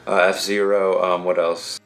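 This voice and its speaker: A man's voice: deep voice, little pitch movement, measured pace, creaky, soft background music. A man co-hosting a casual discussion about video games, speaking conversationally. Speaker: podcast co-host.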